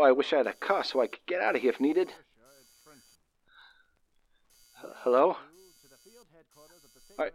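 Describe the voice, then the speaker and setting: An actor playing a nervous, excitable young working man of the 1930s, stammering and using folksy slang, in a radio drama. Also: on the high side, lo-fi sound. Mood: panicked, despairing. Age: young adult. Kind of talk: old-time radio drama acting.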